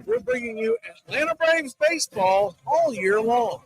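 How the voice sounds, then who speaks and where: A man hosting sports radio, speaking in an emphatic, deliberately phrased announcer's style into a close microphone. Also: extremely high pitch, wildly swooping pitch, gravelly, a little hiss or hum, rough recording. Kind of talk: radio promo and commentary.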